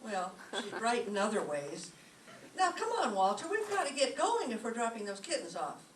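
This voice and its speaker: A woman playing a wry, sardonic high school teacher in an old-time radio comedy, with wisecracking delivery. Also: sing-song, moderate pace. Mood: amused, wry. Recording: echoey stage, distant mic, faint noise.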